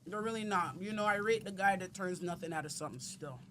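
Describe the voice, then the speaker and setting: A woman's voice: lowish voice, quick pace, fairly bright tone, a little hiss or hum. A woman, a guest in a casual podcast chat, blunt and outspoken. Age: 30s.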